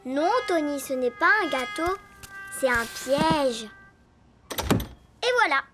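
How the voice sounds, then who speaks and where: A performer voicing a bossy, excitable young girl elephant in a children's cartoon, lively and assertive. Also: high voice, slow, loud music behind the voice. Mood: suspicious, determined. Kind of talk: animated children's cartoon acting.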